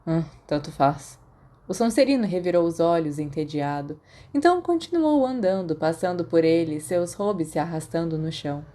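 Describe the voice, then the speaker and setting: A woman with a Brazilian accent narrates fiction. Her voice sits slightly low and has a mellow, dark tone, in the style of an audiobook reading. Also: lively intonation, normal speed, hardly any pauses. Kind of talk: scripted story narration.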